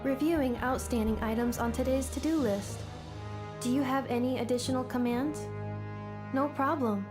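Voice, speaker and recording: A woman's voice: high voice, moderate pace, over music, lightly acted. An actress playing an efficient, unflappable female assistant in a video game, with a businesslike manner. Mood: dutiful, calm.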